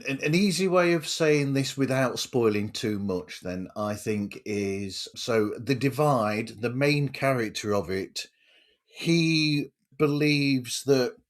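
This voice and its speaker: Slow-paced male podcast host. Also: mid-range voice. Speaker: podcast host interviewing a guest. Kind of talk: casual interview.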